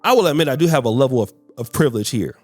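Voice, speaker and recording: A man's voice: medium pitch, expressive, very fast, dry recording, soft background music. A man hosting a podcast discussion, often stumbling and repeating words as he searches for his phrasing. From United States. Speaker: podcast host.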